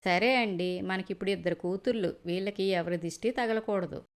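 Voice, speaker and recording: A woman's voice: mid-range voice, fairly quick, natural voice. A woman playing a proud, doting young mother in a cartoon story, fussing affectionately.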